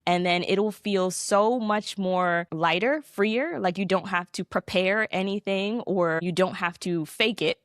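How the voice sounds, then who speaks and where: A young adult woman sharing her story in an interview, animated and reflective, her voice leaping upward when she quotes her younger self. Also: medium pitch, measured pace, few pauses, no echo, studio quality. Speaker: podcast guest.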